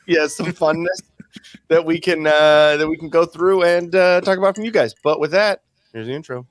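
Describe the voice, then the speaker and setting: A man's voice: fairly high pitch, moderate pace, dry recording. A man hosting a podcast, chatting in a loose, profane, easily amused way. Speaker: podcast host. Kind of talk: casual chat.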